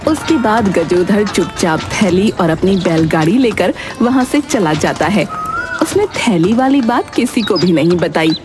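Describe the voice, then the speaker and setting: A woman narrates a children's moral tale. Her mid-pitched voice is expressive and moves up and down a lot, with music playing clearly underneath. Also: measured pace. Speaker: story narrator.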